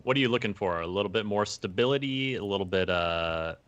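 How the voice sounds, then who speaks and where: A man's voice: lowish voice, fairly quick, a bit bright, highs cut off. A man hosting a game livestream, reading chat and cheering the player on in playful casual talk. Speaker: stream host reading chat.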